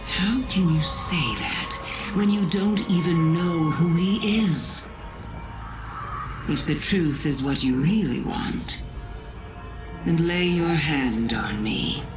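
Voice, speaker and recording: A woman's voice: low-pitched, over music. A woman playing a cunning alien witch queen who poses as a caring friend, silky and taunting in a game cutscene. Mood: probing, taunting. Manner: slow, silky, taunting, deliberate. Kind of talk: dramatic game cutscene acting.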